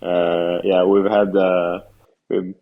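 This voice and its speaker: A low-pitched Norwegian man chats informally as a guest on a podcast. He speaks English as a second language, with a Norwegian accent. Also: level tone, takes it slowly, narrow, tinny audio. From Norway. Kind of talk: casual chat.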